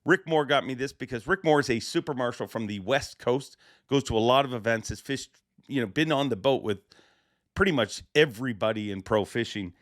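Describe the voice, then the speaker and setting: Male podcast host, slightly raspy, excited and emphatic, dry close sound. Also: medium pitch, a little fast, dry recording. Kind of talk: casual podcast monologue.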